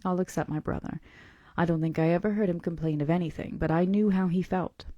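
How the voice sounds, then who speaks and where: A woman reading the part of a calm, melancholy male vampire who tells of his past in a gentle, measured, confidential way. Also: on the low side, no room sound, muffled recording, close to an everyday voice. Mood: wistful, reflective. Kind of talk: audiobook-style character reading.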